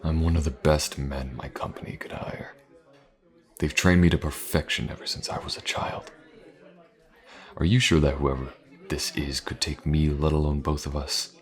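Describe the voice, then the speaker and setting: An actor playing a gruff, wary, self-assured mercenary swordsman in a fantasy audio roleplay, speaking in a dry, terse way. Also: extremely low pitch, fairly even intonation, moderate pace. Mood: skeptical.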